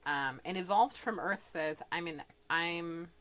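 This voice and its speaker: A woman co-hosting a casual live chat, reading out viewer comments in a soft-spoken, laid-back way. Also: on the low side, measured pace, poor audio.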